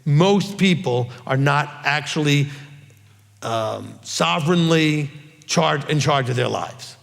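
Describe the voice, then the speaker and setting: A man's voice: on the high side, lively intonation, slow pace, vocal fry, big-room sound. A middle-aged man giving an informal Bible teaching, emphatic and often checking in with "okay?". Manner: emphatic, repeats "okay?" and "all right?".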